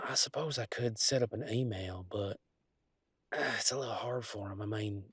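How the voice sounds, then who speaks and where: A man's voice: lowish voice, no room sound, lightly acted. An easygoing werewolf boyfriend, acted by a man in an intimate audio roleplay. He rambles in a folksy, affectionate, teasing way. The sound is close and dry. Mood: earnest, hesitant. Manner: folksy, rambling, playful drawl with colloquial turns. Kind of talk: intimate one-sided roleplay audio, conversational acting.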